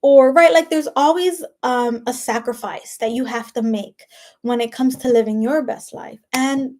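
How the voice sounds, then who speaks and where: High-voiced woman with a Spanish accent. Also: unhurried, darkish tone. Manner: chatty, peppered with "like" and "right?"; emphatic and preachy. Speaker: life coach. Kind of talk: casual motivational monologue.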